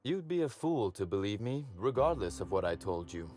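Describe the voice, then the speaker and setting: An actor playing a suave, amused and menacing crime boss in a video game, with a wry, theatrical, deliberate manner. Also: male voice, lowish voice, very animated, a little mellow, faint music behind. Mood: gleefully menacing.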